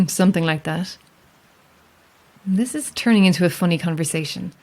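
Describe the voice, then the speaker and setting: Dry, low-voiced woman lighthouse keeper played by an actress. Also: normal speed, a little mellow, lightly acted. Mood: wry, curious. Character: guarded, sceptical lone lighthouse keeper, woman, wryly amused. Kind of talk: naturalistic audio horror drama acting.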